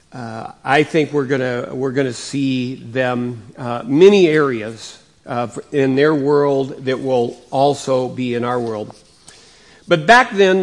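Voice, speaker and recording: A male pastor preaching a sermon in a conversational way, his voice slightly raspy from coughing, rising and getting louder to stress points. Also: fairly high pitch. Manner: conversational, with many fillers and restarts; rises and gets louder for emphasis.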